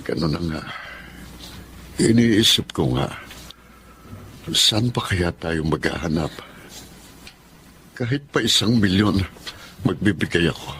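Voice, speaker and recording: An actor playing a wealthy, ailing middle-aged boss in a Filipino radio drama, sad, earnest and kind. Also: male voice, low-pitched, expressive, slow pace, pauses often, fairly bright tone, slight background noise, exaggerated voice. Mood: weary, pleading. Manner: weary, earnest, heavy-hearted.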